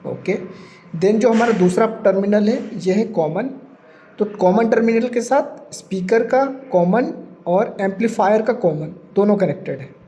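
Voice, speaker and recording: A man's voice: high-pitched, measured pace, dark tone, a little hiss or hum. A man presenting a practical tech demonstration step by step, repeating points and mixing English terms into his speech.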